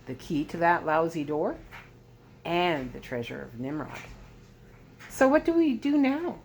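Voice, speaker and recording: A woman's voice: low-pitched, very animated, a little slow, a little mellow, a little hiss or hum, heavily acted. A woman voicing a frank, earnest young woman in a story read aloud. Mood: doubtful.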